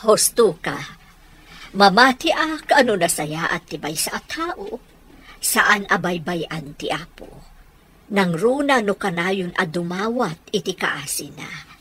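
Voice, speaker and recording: A woman's voice: lowish voice, big pitch swings, takes it slowly, a bit bright, slight background noise, heavily acted. An actress playing a wronged, emotive mother in a radio drama, with an Ilocano accent. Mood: tender, hopeful. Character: wronged, indignant mother, kindly to younger woman.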